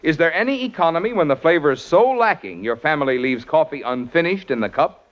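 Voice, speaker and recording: A man announcing a commercial and narrating for a 1940s radio show, with a smooth, persuasive sales delivery. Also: on the high side, expressive, brisk, lo-fi sound. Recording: scratchy 1940s radio broadcast.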